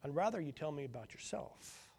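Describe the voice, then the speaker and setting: A man voicing a calm, patient eldest son who speaks gently and earnestly. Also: a little high, fairly quick, breathy edge. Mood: gentle earnest.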